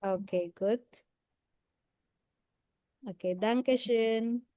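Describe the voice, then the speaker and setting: A woman's voice: on the high side, extremely slow, warm tone, no echo, clean studio sound. A woman teaching German, patiently rephrasing her questions and switching to Tagalog to help a student. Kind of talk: classroom questioning.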